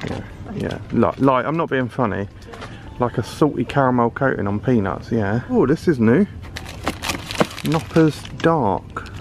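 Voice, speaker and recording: Gravelly British man chatting in a supermarket. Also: medium pitch, expressive, unhurried, over music. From United Kingdom. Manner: chatty, curious, exclaiming over products. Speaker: food vlogger. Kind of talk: casual running commentary while shopping. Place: large supermarket aisles, indoors.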